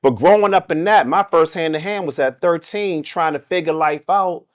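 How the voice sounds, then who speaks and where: A man's voice: a little high, moderate pace, no room sound. 50s dude w a DC accent, repeats himself when he's making a point, dry close mic. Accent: Washington D.C. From Washington D.C. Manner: storytelling, emphatic, repeats phrases. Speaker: guest. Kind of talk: casual podcast conversation.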